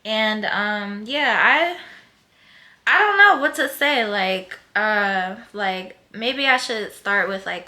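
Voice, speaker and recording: A woman's voice: medium pitch, bright, forceful tone. A woman, chatting casually to camera as a first-time vlogger, rambling with lots of fillers. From Philadelphia, USA. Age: 20s. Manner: blunt, heated and cursing when ranting.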